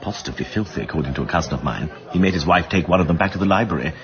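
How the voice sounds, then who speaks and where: Low-voiced, plummy English gentleman sleuth, animated. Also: rapid-fire, dull audio, crowd noise. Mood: wry, disdainful. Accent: upper-class English. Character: well-bred amateur sleuth gentleman, animated and insistent. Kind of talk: British radio drama acting.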